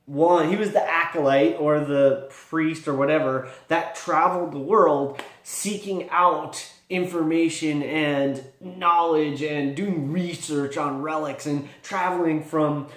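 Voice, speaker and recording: A man talking casually as a tabletop gaming vlogger, rambling and thinking aloud in an animated way. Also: on the high side, slow. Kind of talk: casual, rambling vlog talk. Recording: echoey room.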